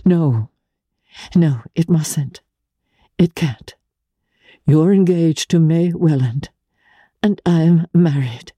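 Audiobook role: woman reader plays a dignified married lady giving up her lover, earnest and sorrowful, slow delivery, husky voice. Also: deep voice, dry recording, lo-fi sound, voice pushed up, full character voice. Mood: sad, resigned. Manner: measured, earnest, formal. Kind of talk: dramatic literary audiobook reading.

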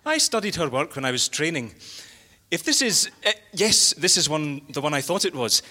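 An excitable fussy curator, very animated. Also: male voice, fairly high pitch, moderate pace, heavily acted. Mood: admiring. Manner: hesitant, fussy, enthusiastic. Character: eager fussy bookish library curator. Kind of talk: live audio comedy acting. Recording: echoey hall, live stage.

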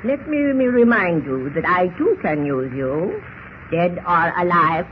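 An actor playing a menacing, imperious Egyptian villain who speaks formally and precisely in a 1940s radio style. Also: male voice, high-pitched, animated, measured pace. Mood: menacing, impatient. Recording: muffled, slightly noisy old radio recording.

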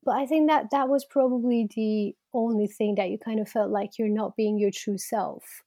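A woman's voice: on the high side, fairly even intonation, normal speed, mellow, dry recording, clean studio sound. A woman in her thirties from Iran, speaking as an interview guest in a reflective, conversational way and laughing easily. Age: 30s.

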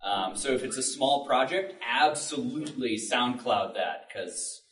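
A man's voice: medium pitch, animated, measured pace, fairly bright tone, a little hiss or hum. A man speaking informally and explaining things on a panel at a public event. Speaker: podcast writer and panelist. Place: public library panel room. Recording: roomy, distant microphone.

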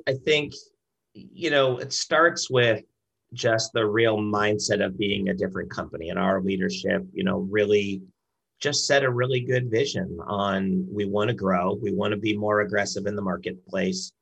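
A man with a low, husky voice answers as a guest in a business interview. His speech is conversational and a little halting, with repeated words, and carries an audible creak. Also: steady tone, fairly bright tone, low-quality recording. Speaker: brand director, interview guest.

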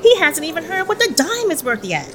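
A woman's voice: very high voice, huge pitch swings, fairly quick, crowd noise, full character voice. An actress playing an exasperated woman who sharply scolds her companion in an audio drama.

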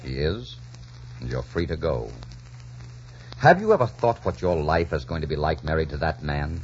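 An actor playing a bold, romantic pirate captain, commanding and theatrical, in 1940s radio drama style. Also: male voice, a bass voice, sing-song, moderate pace, slight background noise. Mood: mocking. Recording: dull 1940s radio recording.

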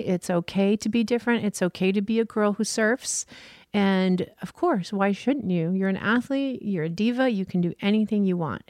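A woman's voice: mid-range voice, a little mellow, no echo, studio quality. A middle-aged woman, a surf school founder, telling her story earnestly and reflectively as an interview guest.

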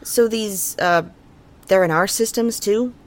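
A woman's voice: medium pitch, unhurried, natural voice. A woman playing an uneasy, suspicious crew member in an audio drama, with a blunt, questioning manner. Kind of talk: scripted audio drama acting.

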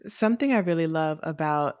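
Low-pitched woman host asking a question, earnest and casual, dry close sound. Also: fairly quick, darkish tone, no echo. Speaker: podcast co-host. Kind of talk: interview question.

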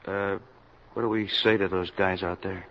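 A man's voice: deep voice, flat delivery, moderate pace, close to an everyday voice. A man playing an uneasy, deferential American working man in a 1950s radio drama. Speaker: actor. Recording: dull, noisy vintage 1950s radio recording.

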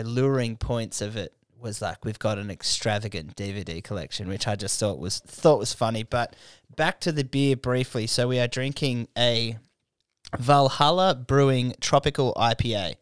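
A man's voice: mid-range voice, normal speed, smooth, dry recording, studio quality. A man in his twenties with an Australian accent, hosting a casual, crude podcast chat full of jokes and fillers. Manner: crude, joking, rambling with fillers. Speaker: podcast host. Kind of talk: casual drunk podcast banter.

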